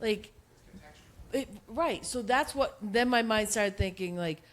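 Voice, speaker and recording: A woman's voice: medium pitch, slow. Chatty Boston woman in the audience. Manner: chatty, self-deprecating. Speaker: audience member.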